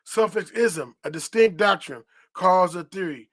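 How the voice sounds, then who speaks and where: A man's voice: high-pitched, animated, measured pace, a bit bright, dry recording. A man hosting a religious podcast, teaching in a preachy, repetitive way, tagging points with "right?" and reading definitions aloud. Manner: reads dictionary definitions aloud. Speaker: podcast host.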